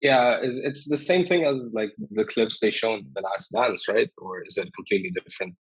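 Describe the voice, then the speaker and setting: A man's voice: lowish voice, fairly quick, husky, no echo, low-quality recording. A man interviewing someone casually, with informal, hesitant phrasing.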